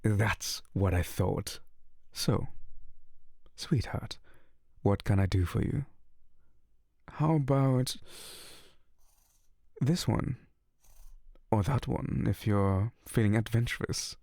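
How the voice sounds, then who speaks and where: A man playing a slow gravelly incubus. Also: fairly low pitch, darkish tone, dry recording, studio quality, full character voice. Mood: seductive. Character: seductive teasing male incubus, playful predator. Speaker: ASMR roleplay voice actor. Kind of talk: ASMR roleplay.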